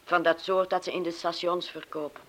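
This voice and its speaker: A man's voice: fairly high pitch, level tone, a little fast, bright, forceful tone. A young man in a radio play, chatty and restless. He is disillusioned with everything, and he questions and cajoles as he talks. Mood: pleading, teasing.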